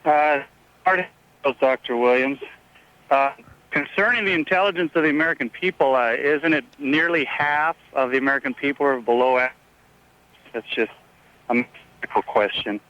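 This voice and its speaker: A man calling in to a radio show, speaking earnestly and pausing hesitantly mid-sentence over the phone. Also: medium pitch, fairly bright tone. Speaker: phone-in caller. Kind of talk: unscripted radio call-in. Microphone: telephone. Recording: dull phone call on radio.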